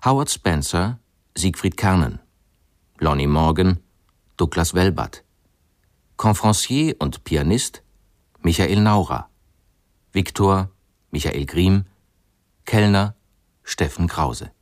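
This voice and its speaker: Slow, low-voiced male radio announcer. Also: lively intonation, darkish tone, no echo, studio quality. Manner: measured, matter-of-fact. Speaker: announcer reading credits. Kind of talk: formal scripted radio credits. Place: dry studio.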